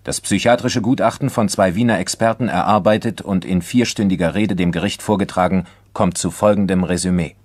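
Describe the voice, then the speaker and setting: A man's voice: deep voice, fairly even intonation, brisk, hardly any pauses. A man narrating a crime radio drama as a sober chronicler, formal and matter-of-fact. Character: sober male chronicler of a criminal trial.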